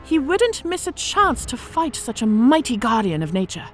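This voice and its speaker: An actress playing a battle-scarred, worldly female orc officer telling war stories in an audio drama with measured, theatrical delivery. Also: on the high side, big pitch swings, soft background music. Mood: matter-of-fact. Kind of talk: audio drama character acting.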